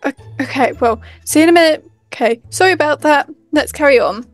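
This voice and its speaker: Woman playing a high-voiced, busy, evasive mum. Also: brisk, lightly acted. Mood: distracted, placating. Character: evasive, busy, placating mother. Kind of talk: improvised roleplay acting. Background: music.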